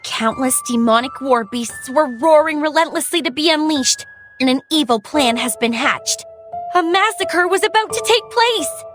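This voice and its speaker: A woman's voice: high-pitched, expressive, moderate pace, fairly bright tone, soft background music. A woman playing an imaginative young girl who tells horror stories in an earnest, theatrical way for a drama. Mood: ominous. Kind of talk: dramatic storytelling in a scripted drama.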